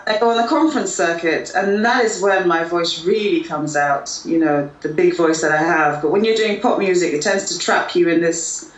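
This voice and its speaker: A woman's voice: on the low side, normal speed. A woman, a singer, chatting casually in an interview and often tagging on "aren't you?" or "wasn't it?". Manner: relaxed, chatty, tags questions. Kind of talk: casual chat. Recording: roomy, distant mic, faint noise.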